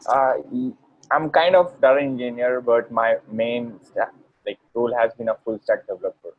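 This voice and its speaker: A man's voice: mid-range voice, fairly even intonation, moderate pace, narrow, tinny audio. A man from India, a student developer who starts hesitantly and then explains.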